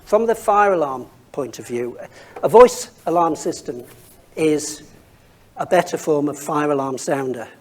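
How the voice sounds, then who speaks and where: A man's voice: a little high, expressive, unhurried. A man speaking as an industry expert on a panel, explaining technical points informally and thinking aloud as he goes.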